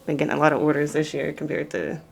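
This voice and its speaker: Chatty young woman, lowish voice. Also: flat delivery, fast, gravelly, slight background noise. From Pittsburgh area. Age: 20s. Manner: chatty, candid, self-deprecating. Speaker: guest. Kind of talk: casual interview chat.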